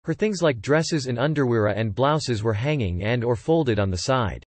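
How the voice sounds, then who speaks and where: A man reading online posts aloud in an even, unhurried way, with little emphasis. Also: mid-range voice, no room sound. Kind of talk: flat scripted reading.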